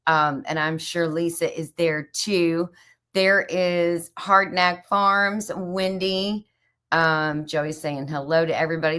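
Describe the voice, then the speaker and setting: Woman, middle-aged, low pitch, slightly raspy, casual chatty host. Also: fairly bright tone. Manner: chatty, greets viewers by name, many fillers. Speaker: livestream host. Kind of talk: casual livestream chat.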